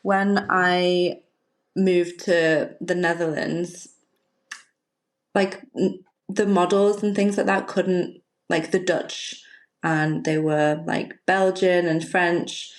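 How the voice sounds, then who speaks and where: A woman in her thirties chats casually as a podcast guest, her softened Liverpool accent coming through. She rambles and laughs often, and her voice sits a little low. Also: flat delivery, takes it slowly. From Liverpool, England. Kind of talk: casual chat.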